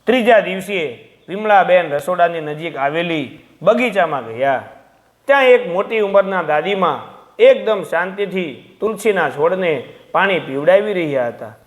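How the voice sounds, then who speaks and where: A man's voice: high voice, lively intonation, moderate pace. A man narrating a sentimental moral story from a script, as a storyteller.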